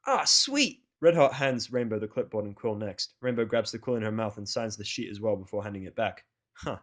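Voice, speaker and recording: A man's voice: fairly low pitch, steady tone, a little fast, darkish tone. A man reading a first-person fan fiction story as narrator, with a blunt, sardonic delivery.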